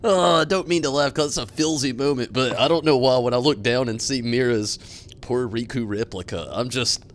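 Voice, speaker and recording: A man's voice: mid-range voice, moderate pace. A man giving casual live commentary as a streamer watching game cutscenes.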